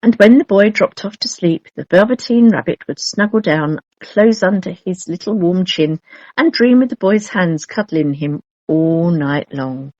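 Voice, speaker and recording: A woman narrating a children's storybook in an expressive, measured storytelling manner. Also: on the low side, dry recording, lo-fi sound. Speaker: storybook reader.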